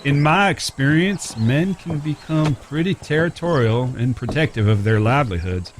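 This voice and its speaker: A man acting in an audio drama as a courteous, smitten Old West drifter with a rough past, speaking folksy, polite lines in an American Western accent. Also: on the high side, moderate pace, a little hiss or hum, lightly acted. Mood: advising. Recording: clear background ambience with animal sounds.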